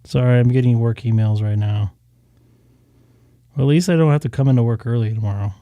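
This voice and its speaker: A man's voice: mid-range voice, a little fast, warm tone, dry recording. Male streamer, tired, chatty, fillers, clean resonant voice, dry room. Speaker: ham radio live streamer. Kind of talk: casual live stream chat.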